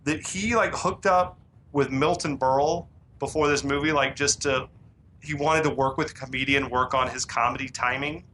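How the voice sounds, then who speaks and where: A man's voice: medium pitch, fairly even intonation, normal speed, bright, forceful tone, slight background noise. A man co-hosting a podcast, casually retelling film scenes and acting out quoted lines. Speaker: podcast co-host. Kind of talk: casual movie recap chat.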